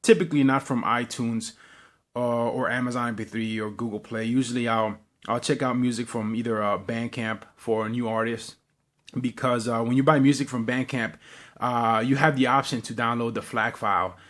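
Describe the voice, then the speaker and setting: A man gives a casual, unscripted show-and-tell in a medium-pitched voice. He talks in a halting, rambling way, often repeating words as he goes. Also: steady tone, a bit bright. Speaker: vlogger.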